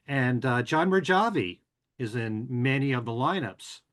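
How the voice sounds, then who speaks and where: Man, podcast host, mid-pitched voice, casual chatty delivery, relaxed with fillers. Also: a little slow. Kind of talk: casual show announcements.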